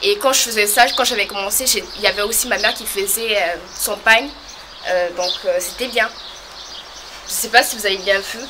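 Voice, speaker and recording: A young woman from French Guiana, talking casually to camera as a vlogger, hesitant and full of pauses. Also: mid-range voice, steady tone, background noise. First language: a local creole or regional language. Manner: hesitant, many pauses and fillers.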